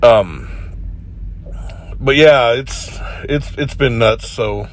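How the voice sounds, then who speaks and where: A truck driver with a head cold talking in a laid-back, joking way with plenty of swearing, in a casual driving vlog. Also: male voice, medium pitch, drawn-out words, slight background noise. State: congested with a cold.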